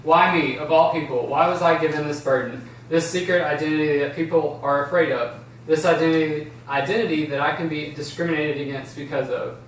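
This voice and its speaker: A man's voice: a little high, steady tone, a little fast, close to an everyday voice. A man reading the part of an anxious, secretive teenage boy in an amateur drama table read. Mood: anxious, troubled. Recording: roomy, distant, faint noise.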